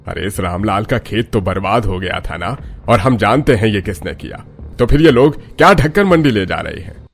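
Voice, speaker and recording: A man's voice: fairly low pitch, lively intonation, normal speed, gravelly. A man playing a scheming village headman and tomato farmer in a cartoon story, taunting and condescending. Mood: suspicious. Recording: music behind the voice.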